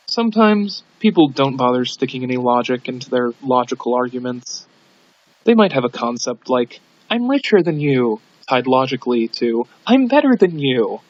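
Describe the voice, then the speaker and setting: Man, medium pitch, amateur audiobook narrator, scripted reading, dull low-fidelity recording. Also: no echo. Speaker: audiobook reader.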